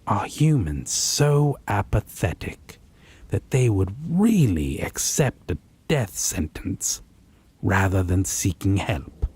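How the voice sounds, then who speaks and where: A man playing a possessive, condescending vampire lover in a roleplay audio drama, haughty and deliberate with a menacing, husky delivery. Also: lowish voice, big pitch swings, darkish tone, heavily acted. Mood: scornful, menacing. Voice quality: breathy, husky.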